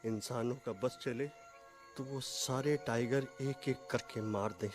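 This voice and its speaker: A man voicing a timid, faltering grown tiger in an animated film. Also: medium pitch, a little slow, faint music behind, natural voice. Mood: sombre confession. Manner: faltering, stammers when frightened. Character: timid adult male tiger living as a cat.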